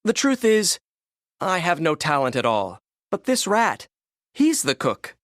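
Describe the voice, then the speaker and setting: A man's voice: sits high, wildly swooping pitch, a little slow, a bit bright, no room sound, studio quality, voice pushed up, heavily acted. A man voicing an earnest, awkward young male kitchen worker in a children's storybook. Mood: earnest, pleading.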